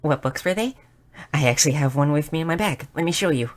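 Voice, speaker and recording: A woman voicing a bookish, excitable young goblin girl in an audio roleplay, curious, shy and slightly stammering. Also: low voice, quick pace, lightly acted. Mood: earnest, fascinated. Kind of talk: scripted audio roleplay addressed to the listener.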